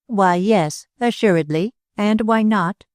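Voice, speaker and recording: A woman's voice: mid-range voice, slow, darkish tone, dry recording, studio quality, voice pushed up, lightly acted. A woman voicing a fiery, jealous Spanish dancer who speaks broken English with a Spanish accent and a tempestuous, dramatic manner. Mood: passionate.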